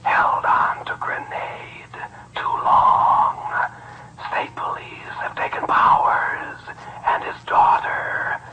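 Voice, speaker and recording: Actor as a sinister slow whispering man. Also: close to an everyday voice. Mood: cold, menacing. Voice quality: hoarse voiceless whisper. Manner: slow, measured, ominous. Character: sinister male underworld informant speaking in whispers. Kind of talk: 1950s radio drama acting. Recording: dull lo-fi old radio recording.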